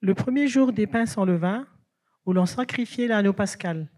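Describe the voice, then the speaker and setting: Scripture reader with a dark, mellow voice, measured and steady delivery. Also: medium pitch. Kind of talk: scripture reading.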